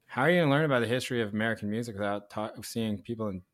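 A man co-hosting a casual chat, animated and outspoken, swearing and laughing as he argues. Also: lowish voice, racing. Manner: animated, swearing, laughing, bursts into loud asides. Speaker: podcast co-host.

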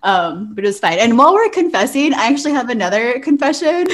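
Casual, halting woman podcaster. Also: fairly high pitch, sing-song. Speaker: podcast co-host, librarian. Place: remote video call recording.

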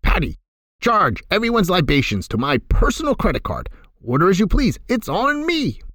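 A man's voice: high-pitched, huge pitch swings, normal speed, a bit bright, no room sound, studio quality, voice pushed up, heavily acted. A man voicing a reformed boss who is giddy and gleeful, talking in a theatrical sing-song.

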